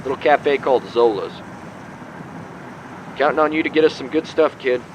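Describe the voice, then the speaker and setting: An actor playing a cocky, needling boss who briefs a young intern in a conversational radio drama. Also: male voice, medium pitch, normal speed, highs cut off, background noise, close to an everyday voice. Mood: brisk, instructing. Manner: folksy, teasing, needling.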